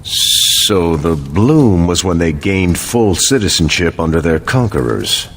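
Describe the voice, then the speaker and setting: An actor playing an earnest, thoughtful veteran soldier superhero in a video game, speaking in measured declarations. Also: male voice, sits low, sing-song, fairly bright tone, slight background noise, full character voice.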